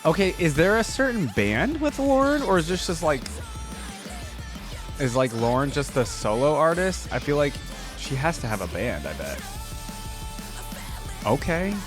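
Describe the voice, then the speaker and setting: A man's voice: on the high side, very animated, a little slow, long pauses, over music. A man hosting a reaction video, commenting casually and thinking aloud as he watches.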